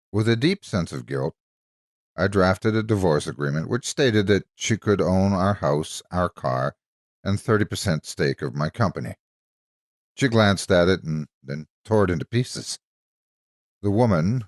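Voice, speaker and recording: A man narrating a scripted dramatic story in a measured, expressive storytelling manner. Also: deep voice, no echo, clean studio sound.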